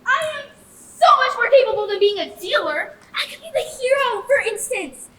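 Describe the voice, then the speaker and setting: A woman's voice: very high voice, animated, moderate pace, bright, forceful tone, heavily acted. A young woman on stage playing a jealous, attention-hungry card dealer with theatrical, boastful delivery, in a school comedy. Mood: boastful, self-important. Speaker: junior thespian performer. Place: echoey stage, recorded from a distance. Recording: echoey stage, distant mic, faint noise.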